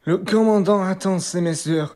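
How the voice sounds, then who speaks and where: A man playing a deferential country innkeeper in an amateur radio play, speaking in a deliberate, respectful way. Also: sits high, mellow, a little hiss or hum, close to an everyday voice. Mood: apologetic, obedient.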